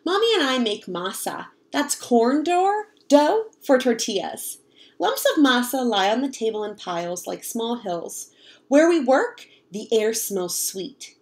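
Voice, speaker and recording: A woman reading a picture book as an excited, hopeful young girl, in an expressive manner. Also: on the high side.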